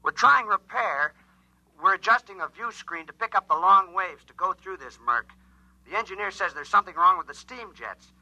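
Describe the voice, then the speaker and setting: An alien spaceship pilot from a 1950s radio drama, urgent and insistent. The voice is very sharp and forceful, swinging widely in pitch. It comes through a radio transmission on a dull, lo-fi old recording. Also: male voice, lively intonation, moderate pace, no echo, exaggerated voice. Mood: alarmed. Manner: urgent, insistent, short clipped reports. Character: alarmed alien spaceship pilot, joking turning desperate, pleading. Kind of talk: 1950s science fiction radio acting.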